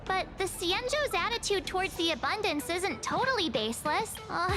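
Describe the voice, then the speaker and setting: A woman voicing a perky, cautious teenage girl adventurer in a video game, chatty and expressive. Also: very high-pitched, moderate pace. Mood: earnest. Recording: game music under the voice.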